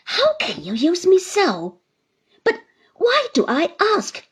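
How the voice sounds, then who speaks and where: A woman's voice: mid-range voice, lively intonation, unhurried, breathy edge, heavily acted. A woman doing an indignant older aunt. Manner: emotional, reproachful pleading.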